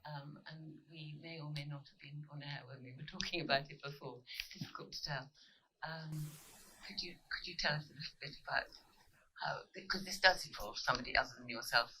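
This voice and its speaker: A woman chairing an artist talk, asking the guest questions and inviting the audience in a measured way. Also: low voice, background noise. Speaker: chair and interviewer.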